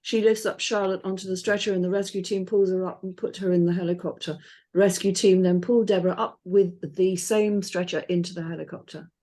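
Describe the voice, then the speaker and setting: A woman's voice: on the low side, steady tone, a little fast, mellow. A woman reading out stage directions as scripted narration.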